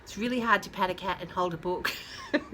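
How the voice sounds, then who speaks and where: A woman reading aloud to young children, adding chatty asides and questions to listeners, with drawn-out emphasis on key words. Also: mid-range voice, huge pitch swings, background noise. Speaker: children's storyteller. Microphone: phone held nearby.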